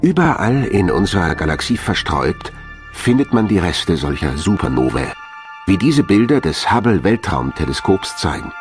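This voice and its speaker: A man's voice: sits low, sing-song, moderate pace. A man narrating a science documentary from a script, as the narrator of the programme. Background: background music.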